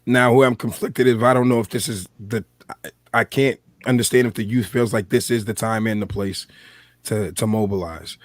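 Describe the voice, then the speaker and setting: A man with an American accent and a slightly hoarse voice, hosting a podcast in casual, reflective talk. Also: on the low side, normal speed, no echo. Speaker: podcast host.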